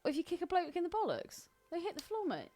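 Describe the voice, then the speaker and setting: Lively, cheeky British woman podcasting. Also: very high-pitched, fast talker, faint music behind. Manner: blunt, cheeky. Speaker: podcast co-host. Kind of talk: casual comedic podcast chat.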